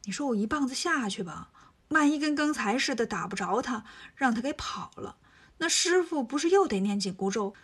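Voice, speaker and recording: A woman voicing a bold, impatient male monkey king who talks brisk and cocky. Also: a little high, expressive, slightly rough.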